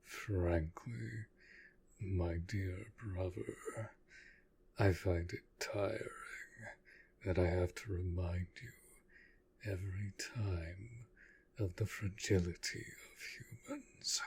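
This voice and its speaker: A man playing a protective, commanding vampire master in an audio roleplay, speaking in a formal, measured, authoritative way. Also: deep voice, fairly even intonation, creaky, darkish tone, slight background noise, exaggerated voice. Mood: quietly menacing. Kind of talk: scripted audio roleplay acting.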